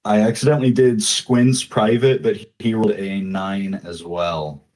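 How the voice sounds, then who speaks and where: A man narrating as game master, speaking haltingly with frequent fillers. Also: lowish voice, steady tone, darkish tone. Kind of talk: narrating a tabletop game online.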